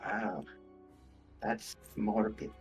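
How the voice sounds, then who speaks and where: A man's voice: on the low side, steady tone, drawn-out words, soft background music. A man playing in a tabletop roleplay game, talking casually in and out of character.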